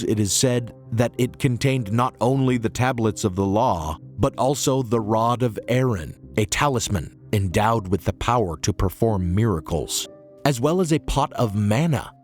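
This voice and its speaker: A hoarse man narrating a history podcast in a measured, earnest way. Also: on the low side. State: hoarse, recovering from illness. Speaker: podcast host.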